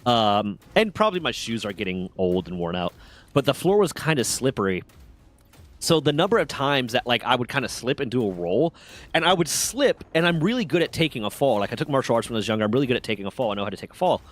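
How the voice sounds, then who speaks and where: A man's voice: mid-range voice, very animated, fast talker. A man in his thirties streaming a game and chatting casually, rambling and swearing as he tells stories. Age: 30s. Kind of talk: casual gaming chat.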